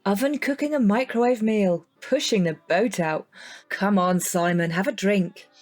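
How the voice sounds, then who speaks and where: A woman's voice: mid-range voice, unhurried, a little mellow, soft background music, close to an everyday voice. An actress playing a blunt, teasing young woman in an audio drama, with a dry, informal manner.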